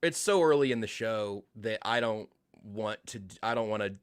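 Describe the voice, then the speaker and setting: A man's voice: on the low side, normal speed, smooth, no echo. Dry, teasing male podcast host. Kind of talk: casual sports podcast chat.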